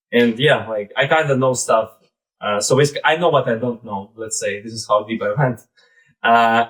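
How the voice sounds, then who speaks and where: A man in his thirties with a Belarusian accent gives an informal live presentation in a halting, conversational way, often saying "like" and "basically". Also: medium pitch, fairly bright tone, rough recording. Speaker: presenter, startup founder.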